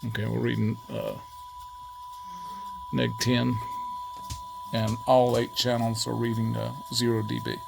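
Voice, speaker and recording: A man giving a casual hands-on technical tutorial in a methodical, matter-of-fact way, pausing as he goes. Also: mid-range voice, gravelly, noisy background. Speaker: presenter demonstrating equipment calibration.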